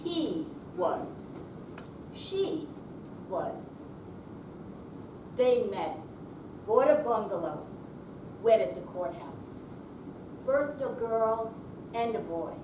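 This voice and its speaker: A woman performing a readers theater piece, delivering short narrative phrases in a measured way with pauses. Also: on the high side, sing-song. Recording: roomy hall, distant mic, background noise.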